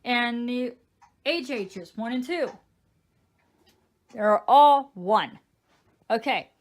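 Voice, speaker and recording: A chatty young woman with a fairly high voice talks through her collection in a relaxed home vlog. She counts items aloud one by one, easygoing and informal. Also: drawn-out words. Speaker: weather radio collector. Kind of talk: casual show-and-tell vlog.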